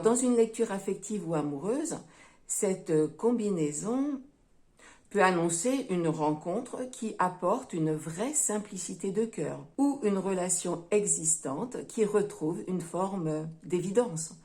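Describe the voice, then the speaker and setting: A woman giving a tarot reading to her viewers, speaking gently and unhurriedly, often pausing with hesitations and calling them her friends. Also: lowish voice, expressive. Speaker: tarot reader.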